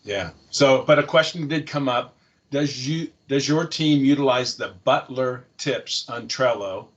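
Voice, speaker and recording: A man's voice: medium pitch, a little slow, lo-fi sound. A man moderating a webinar, relaying audience questions somewhat hesitantly.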